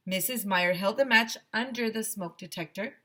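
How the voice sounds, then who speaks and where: A woman teacher reading a children's chapter book aloud in an expressive, animated storytelling style. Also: mid-range voice, normal speed. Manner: expressive, dramatizes the dialogue.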